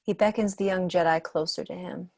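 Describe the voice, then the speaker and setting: Woman narrating script directions, lowish voice. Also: steady tone, brisk, gravelly, darkish tone, dry recording. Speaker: reader of stage directions. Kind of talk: scripted narration at an amateur table read. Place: home room, on a video call.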